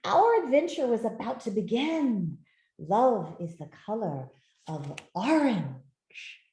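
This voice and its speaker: A woman reading a picture book aloud to children in a gentle, expressive storytelling manner. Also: medium pitch, slow pace. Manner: gentle, expressive, with soft asides to listeners. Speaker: author reading her own picture book. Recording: roomy, echoey sound.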